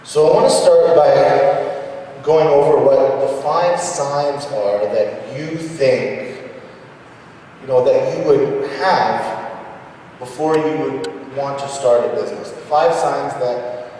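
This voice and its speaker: A man giving an introductory business seminar as a presenter, speaking haltingly with frequent pauses mid-sentence, in a large meeting hall. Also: medium pitch, warm tone. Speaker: presenter, small business owner. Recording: echoey hall, distant mic, noisy ambience.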